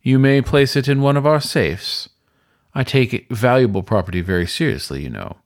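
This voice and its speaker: A man voicing a suave weasel ghost who runs a hotel, speaking in a smooth, courteous, formal manner for a storybook reading. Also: mid-range voice, lively intonation, moderate pace, voice pushed up. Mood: smooth, insinuating.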